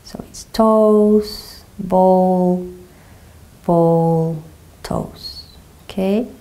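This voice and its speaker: Slow, mellow Portuguese-accented woman instructor. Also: fairly low pitch. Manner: slow, gentle, calming cues with frequent "okay?". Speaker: movement teacher. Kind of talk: guided somatic exercise instruction. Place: small carpeted room indoors.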